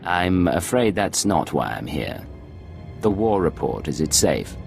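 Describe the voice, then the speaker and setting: An actor playing a composed, guarded male spy in a video game, with gravelly, clipped, deadpan delivery. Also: low voice, a little slow, soft background music, heavily acted. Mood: guarded, businesslike. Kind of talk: video game cutscene acting.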